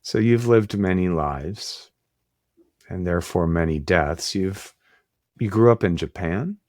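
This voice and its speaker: A man's voice: low-pitched, measured pace, a little mellow, no room sound, clean studio sound. A middle-aged man hosting a podcast interview over a video call, reflective and earnest. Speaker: podcast host. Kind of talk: interview conversation. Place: room with bookshelves.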